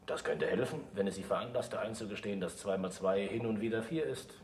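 A man's voice: on the low side, a little fast, close to an everyday voice. An actor playing a bitter older male scientist in a German radio play, dry, curt and sarcastic. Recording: distant, echoey, noisy old radio play.

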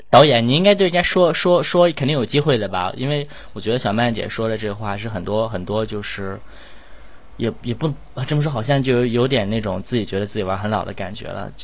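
A man's voice: mid-range voice, expressive, fairly quick. A man hosting a casual online group chat, talking in a rambling, self-effacing way. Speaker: host and amateur voice actor.

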